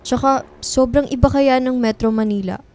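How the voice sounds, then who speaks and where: Actress doing a pouty college girl in a radio drama, feisty bickering, kinda high voice. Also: normal speed, a little mellow, lightly acted. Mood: sulky. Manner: pouty, bickering, mock-threatening. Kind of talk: radio drama acting in casual banter.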